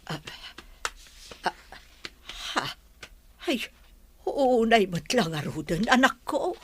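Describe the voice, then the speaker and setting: A woman's voice: fairly high pitch, very animated, slow pace, slight background noise. A woman acting a concerned older mother and grandmother in a radio drama, solicitous and sighing. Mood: worried.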